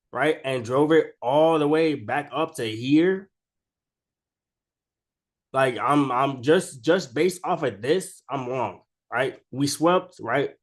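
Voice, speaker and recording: A man with an average-pitched voice, sick with a cold, talks through market charts in a casual, unscripted way. He is informal and slangy, often tagging his points with a quick check-in question, like a creator explaining his screen to followers. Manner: informal and slangy, keeps tagging statements with "right?". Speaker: stock trading video creator.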